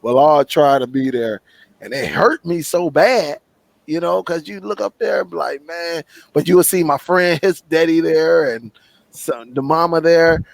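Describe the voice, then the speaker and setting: Man, fairly high voice, dry close sound, earnest, heartfelt, casual live chat guest. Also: unhurried, vocal fry, dry recording. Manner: earnest, heartfelt storytelling with many backchannels.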